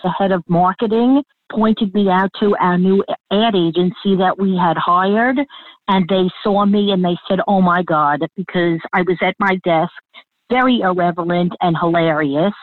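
Lively Long Island woman on phone. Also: mid-range voice, no room sound. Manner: lively, laughing, talks in quick bursts with restarts. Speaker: guest. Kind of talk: animated storytelling interview. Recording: tinny phone line.

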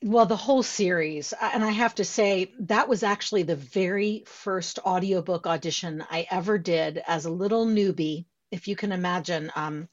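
A woman audiobook narrator telling stories about her work in a relaxed interview, expressive and animated. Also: fairly low pitch. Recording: slightly dull lo-fi sound.

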